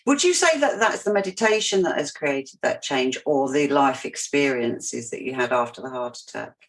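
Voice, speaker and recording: Gentle woman interviewer. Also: a bass voice, lively intonation, moderate pace. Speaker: host, interviewer. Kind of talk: interview questions.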